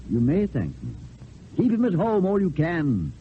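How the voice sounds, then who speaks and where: On a tinny, faintly noisy old radio recording, a courtly nobleman speaks formally. His voice sits fairly high and moves theatrically with wide, lively inflection. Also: unhurried. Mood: grave, courtly. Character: De Guiche, courtly French nobleman, once an enemy. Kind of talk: old-time radio stage acting.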